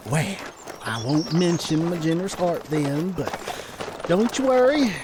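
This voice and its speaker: A wily old frontier codger for an audio drama. His voice is high and very animated, with a slow, folksy Southern drawl. He teases and needles like a cocky rogue, over faint background noise. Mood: wry, guarded. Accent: American Southern or Western drawl. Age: older. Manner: folksy, teasing, drawn-out delivery. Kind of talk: Western audio drama acting.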